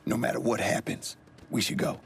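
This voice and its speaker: A man's voice: mid-range voice, normal speed, vocal fry, a little hiss or hum, lightly acted. A man playing an easygoing, streetwise fight handler in a crime video game, talking casually. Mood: calm, coaching. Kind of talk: naturalistic video game acting.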